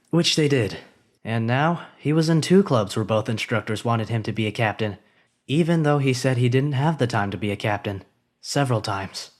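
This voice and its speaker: Man narrating a fan audiobook expressively. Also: mid-range voice. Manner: expressive reading that lifts for the hero's inner thoughts. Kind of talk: story narration.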